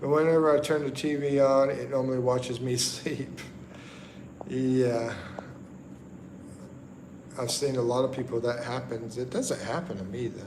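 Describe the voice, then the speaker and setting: Slow easygoing man, echoey room. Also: medium pitch. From the Carolinas, USA. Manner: slow, easygoing, halting with frequent ums and restarts. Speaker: livestream host. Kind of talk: casual livestream chat, reading viewer comments. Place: indoors at home in the Philippines at night. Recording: echoey room with steady background noise.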